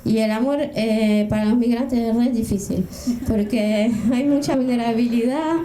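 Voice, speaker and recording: A woman's voice: a little high, moderate pace, dark tone, slight background noise. A middle-aged woman from France speaking on a panel, hesitant and searching for words. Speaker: panelist, writer. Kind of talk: panel discussion. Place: event hall. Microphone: handheld mic. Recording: roomy hall.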